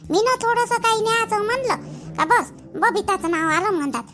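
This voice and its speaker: A woman's voice: extremely high pitch, brisk, a bit bright, faint music behind. A woman voicing a timid, resentful young daughter-in-law in a family cartoon, muttering hesitantly in a Varhadi Marathi accent. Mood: grumbling. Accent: Varhadi Marathi (Vidarbha). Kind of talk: animated family drama acting.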